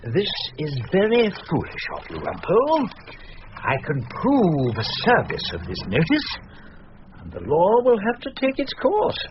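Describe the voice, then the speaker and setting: An officious British barrister with a high, very animated voice. He is formal, prim and pompous, in radio drama style, with light noise on the recording. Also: measured pace, muffled recording, full character voice. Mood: stern. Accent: British English. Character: prim, officious male barrister reading out charges.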